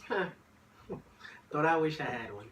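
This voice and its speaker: A man's voice: on the high side, expressive, measured pace, fairly bright tone. A young man from Haiti speaks in a laid-back, teasing way, tossing in jokes between answers. His voice carries a roomy echo. A faint background hiss sits underneath. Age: 20s. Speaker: guest, college student. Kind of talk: casual chat.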